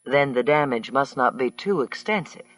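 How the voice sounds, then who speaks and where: A man voicing a tired, fair-minded governor in his sixties who speaks calmly. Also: medium pitch, moderate pace, dry recording, natural voice. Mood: weary. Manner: calm, tired. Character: weary, fair-minded older male governor.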